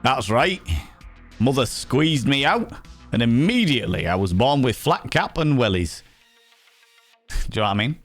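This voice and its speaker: A man's voice: medium pitch, very animated, moderate pace, pauses often, soft background music. Cheeky Yorkshire man chatting with viewers. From Yorkshire, England. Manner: cheeky, teasing, swears freely, greets chat warmly. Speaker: live streamer. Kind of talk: casual live-stream chat.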